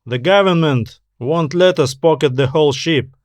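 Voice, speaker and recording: A man's voice: on the high side, moderate pace, no echo, lightly acted. Man acting a cautious, level-headed space explorer. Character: cautious, matter-of-fact male space explorer partner.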